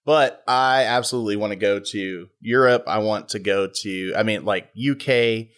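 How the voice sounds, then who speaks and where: A man's voice: lowish voice, moderate pace, fairly bright tone, clear, resonant tone. An American man, middle-aged, hosting a podcast with casual, folksy chat in a studio. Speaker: podcast host.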